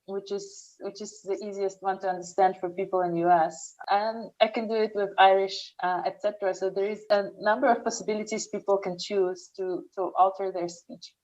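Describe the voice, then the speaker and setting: A young woman with an Eastern European accent, a startup founder answering interview questions slowly and carefully, often restarting her sentences. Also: mid-range voice, little pitch movement, a little mellow, dull audio. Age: young adult.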